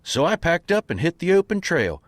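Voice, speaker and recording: Folksy, righteous western hero male actor, lively intonation, audio comedy. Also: fairly high pitch, moderate pace, no echo, studio quality. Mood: chipper, oblivious. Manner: folksy drawling cowboy speech. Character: earnest, folksy, self-righteous male drifter hero, oblivious.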